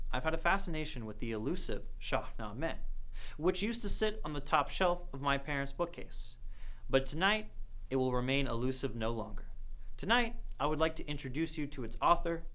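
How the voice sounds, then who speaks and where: A man presenting and narrating a scripted documentary with a measured storyteller's delivery. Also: a little high, expressive, a bit bright.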